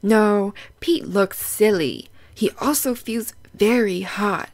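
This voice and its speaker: A woman's voice: mid-range voice, takes it slowly, a little mellow. A woman hosting a children's storybook read-aloud, explaining things in simple, instructive phrases and putting questions to young listeners.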